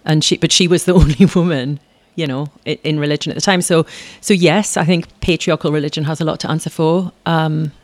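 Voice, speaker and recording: A woman's voice: deep voice, steady tone, fairly quick, few pauses, a little mellow, dry recording. A woman performer being interviewed, talking in a chatty, rambling way, often adding tag questions and restarting her sentences. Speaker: guest performer. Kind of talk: conversational interview.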